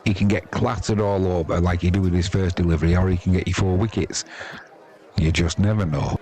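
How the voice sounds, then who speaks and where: A man's voice: low voice, steady tone, fairly quick, warm tone. A man with an English accent hosting a live cricket stream, chatting informally and self-deprecatingly to viewers in colloquial language, with crowd noise behind him. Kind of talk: informal sports commentary and chat to viewers.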